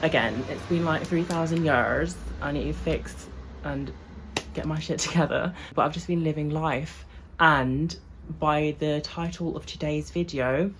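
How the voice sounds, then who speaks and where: A woman's voice: sits low, a little slow, a little hiss or hum, poor audio. A young woman from England, chatting loosely to camera in a vlog, full of fillers and asides. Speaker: vlogger. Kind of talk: casual chat to camera.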